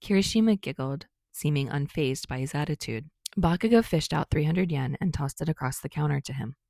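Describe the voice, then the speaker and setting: Female audiobook-style narrator, low pitched, dry close sound, telling a scripted story. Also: expressive, brisk, gravelly, darkish tone, no echo. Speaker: fan fiction narrator.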